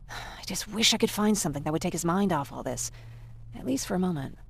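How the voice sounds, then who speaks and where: A woman playing a weary, grieving young survivor in a video game, hesitant and soft-hearted. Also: on the low side, lively intonation, fairly quick, vocal fry. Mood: grateful, weary.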